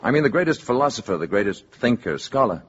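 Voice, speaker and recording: An actor playing a calm, courteous alien posing as an ordinary man in a 1950s radio drama, speaking in a measured, assured way. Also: medium pitch, natural voice. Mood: earnest. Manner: polite, measured, quietly assured. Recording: dull, noisy 1950s radio recording.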